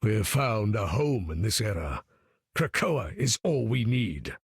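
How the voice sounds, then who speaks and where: An actor playing a grave, proud older mutant leader, gravelly and measured like an orator, in a video game. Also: male voice, on the low side, big pitch swings, no room sound, clean studio sound, exaggerated voice. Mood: stern, commanding. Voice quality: gravelly, weathered. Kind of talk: video game character acting.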